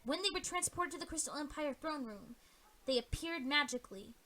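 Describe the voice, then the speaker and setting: A woman's voice: sits high, animated, a little fast, sharp tone. A woman narrating an amateur audio play, reading scripted story narration.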